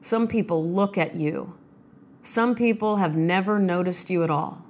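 Low-voiced woman, chatty and self-mocking, voice rough from a sinus infection, solo in a home studio. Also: measured pace, darkish tone, slight background noise. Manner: chatty, self-deprecating, joking. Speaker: podcast host reading poems. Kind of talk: casual chat and poetry reading.

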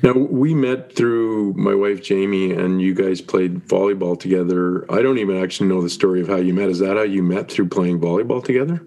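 A man's voice: sits low, fairly quick, few pauses, warm tone. A man hosting a podcast who reads a guest's bio and then asks rambling, restarting questions. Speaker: podcast host.

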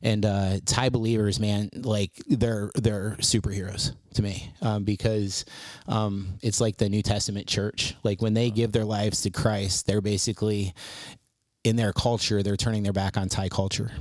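A man's voice: fairly low pitch, level tone, normal speed, a little mellow, dry recording. A man, a missionary guest, chatting casually on a podcast, rambling with frequent restarts and easy laughs. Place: lives in Thailand eight years.

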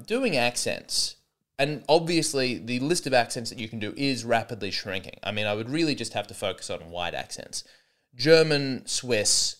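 A man in early adulthood riffs through a solo comedy podcast, his pitch leaping up and down as he talks. He rambles and restarts his sentences often, with a dry, sarcastic edge. His voice sits at an ordinary middle pitch for a man. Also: smooth. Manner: rambling, sarcastic, many false starts.

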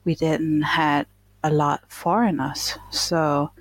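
Female guest, middle-aged, German-accented, husky and unhurried, casual storyteller, close dry sound. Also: sits low, fairly even intonation, dry recording. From eastern Germany. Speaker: interview guest, nurse.

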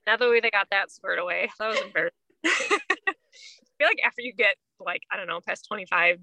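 A woman's voice: mid-range voice, animated, moderate pace, bright, forceful tone, low-quality recording. A woman in her thirties chatting casually as a podcast co-host, informal and a little self-deprecating. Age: 30s. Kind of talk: casual chat.

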